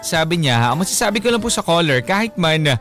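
A Filipino man with a moderately high voice co-hosts a radio show. He is casual and joking, chipping in reactively, with music low in the background. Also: sing-song, fast. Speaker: radio co-host. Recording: radio studio with music underneath.

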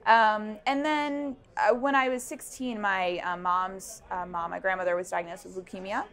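A woman's voice: mid-range voice, steady tone, normal speed, a bit bright. A young woman, a founder, answering interview questions at an indoor event with a lapel mic, thoughtful and full of ums and restarts. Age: young adult. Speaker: startup founder. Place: busy indoor networking event.

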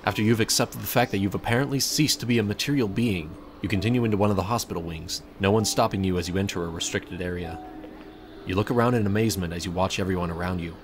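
Low-voiced man narrating a story. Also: fast, a little hiss or hum. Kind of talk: scripted second-person fan fiction narration.

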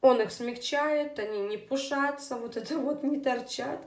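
Chatty woman in an echoey room. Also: sits high. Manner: chatty, unhurried, with fillers. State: voice somewhat unwell. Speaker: beauty vlogger. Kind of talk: casual product review. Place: indoors at a table, hands on camera.

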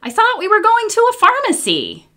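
An American woman voicing a curious little girl in a children's storybook, exclaiming and animated. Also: very high voice, normal speed, voice pushed up, exaggerated voice. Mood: puzzled.

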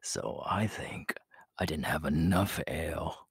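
A cocky, cruel young werewolf king, acted by a man for an ASMR roleplay. His voice is very low and heavily breathy, often falling into a husky whisper. He takes his time, teasing and possessive, with menace under it. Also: lively intonation, no room sound, studio quality, heavily acted. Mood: gloating, teasing. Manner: slow, teasing, menacing drawl.

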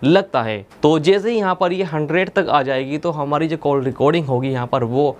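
Higher-pitched young man giving a tutorial. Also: normal speed. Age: young adult. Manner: instructional, repeats points methodically. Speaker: tech tutorial presenter. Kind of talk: step-by-step tutorial narration. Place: indoor studio with plain blue backdrop.

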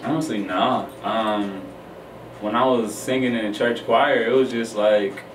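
Casting: American male, 30s, low voice, halting interview guest, roomy studio sound. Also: fairly even intonation. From Detroit, United States. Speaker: guest musician. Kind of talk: casual radio interview. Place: small radio studio. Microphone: studio boom microphone. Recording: roomy, distant mic, faint noise.

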